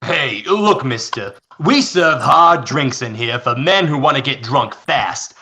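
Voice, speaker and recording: A man playing a gruff, impatient bar boss with a tough, brusque manner in a live radio play reading. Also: medium pitch, animated, a little slow, sharp tone. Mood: irritated.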